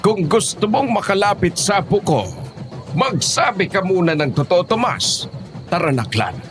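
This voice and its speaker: A man's voice: high-pitched, very animated, moderate pace, background noise. A man with a Filipino accent playing a blunt, protective elderly grandfather in an audio horror drama. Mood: stern, accusing.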